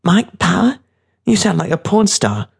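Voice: mid-range voice, sing-song, a little slow, gravelly, husky, pitched above the performer's own voice, heavily acted. A narrator voicing a tough, scornful, streetwise teenage girl with a brash, mocking, bossy way of talking.

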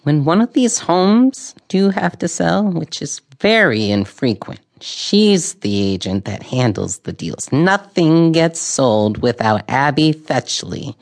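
Really low croaky old lady, dry know-it-all gossip, swingy delivery, audio drama. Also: animated, slow, few pauses, darkish tone, heavily acted. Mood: gossipy. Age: older. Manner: knowing, dryly opinionated. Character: sharp, gossipy old woman, long-time islander.

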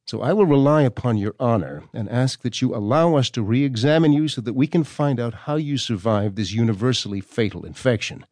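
An actor playing a stern, formal government doctor reading out his correspondence in a measured, condescending way for an audio drama. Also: mid-range voice, animated, darkish tone, dry recording, studio quality, close to an everyday voice. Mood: stiff, defensive.

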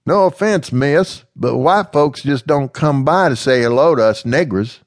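A man's voice: medium pitch, animated, measured pace, no echo, studio quality, pitched above the performer's own voice. A man voicing a young woman who is polite and guarded. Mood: wary.

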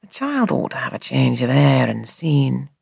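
A woman voicing a kindly gentleman apothecary in a storybook reading, gentle, reassuring and musing. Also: rumbling low, sing-song, a little slow, creaky, breathy edge, no room sound, clean studio sound, exaggerated voice.

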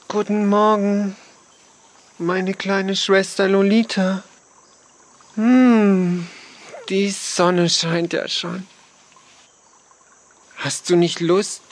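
A woman voicing an easygoing young male dragonfly, a big brother, in a children's audiobook with hesitant, drawn-out delivery. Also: mid-range voice. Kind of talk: children's audiobook character acting.

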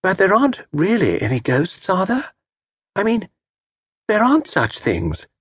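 A man reading aloud, voicing a vague, puzzled young woman with soft questioning lines. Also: sits high, huge pitch swings, measured pace, husky, dry recording, pitched above the performer's own voice, exaggerated voice.

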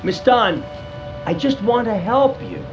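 Bumbling nice grocer in a radio play, highish male voice, stammers, kinda muffled w music under it. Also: expressive, unhurried. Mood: pleading. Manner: stammering, hesitant, chatty. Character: friendly, bumbling male neighborhood grocer, concerned and earnest. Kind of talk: radio drama acting.

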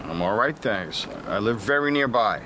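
An actor playing a suspicious, politely probing gentleman in radio drama dialogue, with clipped questions. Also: on the low side, lively intonation, moderate pace, lightly acted. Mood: guarded, probing. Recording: outdoor street ambience with vehicle noise.

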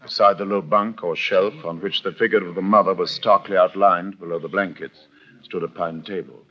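A man narrating a frontier tale as a radio-drama storyteller, measured, theatrical and precise in his wording. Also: sits low, fairly even intonation. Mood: measured, dramatic. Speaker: narrator and character actor. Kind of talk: dramatic scripted storytelling, old-time radio. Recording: dull vintage radio recording.

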